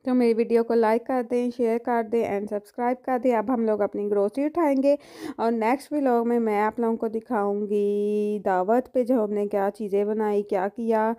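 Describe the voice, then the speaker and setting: A woman's voice: fairly high pitch, steady tone, normal speed, dark tone. A woman in her thirties, from Pakistan, chattily listing groceries one by one in a casual home vlog, filmed on a handheld phone. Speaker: vlogger. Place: home floor strewn with groceries. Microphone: handheld phone camera. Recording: noisy home phone recording.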